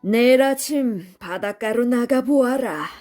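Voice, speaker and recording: Woman acting a slow, solemn guardian spirit. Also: on the high side, a little mellow, faint music behind, natural voice. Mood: solemn, benevolent. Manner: slow, archaic, grave speech. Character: solemn, benevolent mountain spirit granting wishes.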